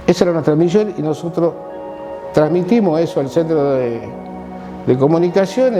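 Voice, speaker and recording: Halting Argentine man in his sixties. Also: a little high, highs cut off. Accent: Argentine Spanish. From Catamarca, Argentina. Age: 60s. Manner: halting, repeats and restarts phrases. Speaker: war veteran giving testimony. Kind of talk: recorded personal testimony.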